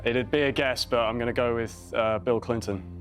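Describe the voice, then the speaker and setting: Brief: man in his 20s, hesitant contestant working answers out aloud, mid pitch, faint music behind. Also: fairly even intonation, moderate pace. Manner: hesitant, reasons aloud with fillers. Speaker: quiz contestant, physics student. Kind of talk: thinking aloud on a quiz show.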